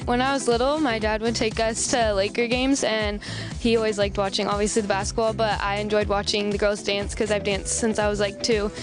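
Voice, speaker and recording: A young woman trying out as a dancer talks casually and hopefully, leaning on filler words. Music plays clearly behind her. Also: mid-range voice, level tone, a little fast. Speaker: auditioning dancer. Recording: music playing under speech.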